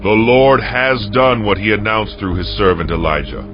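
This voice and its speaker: A man's voice: on the low side, expressive, moderate pace, lightly acted. An actor playing a fierce, commanding ancient warrior king with a stern, declamatory delivery in a dramatized scripture audio drama. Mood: stern, challenging. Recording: dull muffled audio under music.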